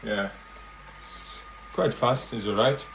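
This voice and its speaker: A man presenting a casual hands-on product demonstration, thinking aloud in loose, halting phrases. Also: mid-range voice, vocal fry, fairly bright tone, a little hiss or hum, poor audio. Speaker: vintage hi-fi video presenter.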